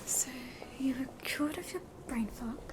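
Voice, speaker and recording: An Australian woman performing ASMR roleplay in a soft, gently questioning manner. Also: sits high, unhurried, noisy background. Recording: whispered voice under loud music. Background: loud music.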